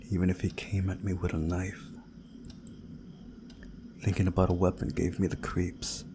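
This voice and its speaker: A man reading a visual novel story aloud in a measured, dramatic storytelling way, hushed at times. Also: sits low, background noise. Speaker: video game playthrough narrator.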